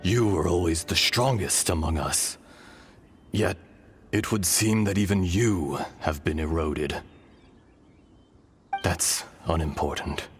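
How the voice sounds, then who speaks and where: An actor playing an ancient, weary dragon spirit inside a young man's body, speaking in a solemn, measured, hushed way, with game music behind. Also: sits low. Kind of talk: video game voice acting. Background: orchestral game music.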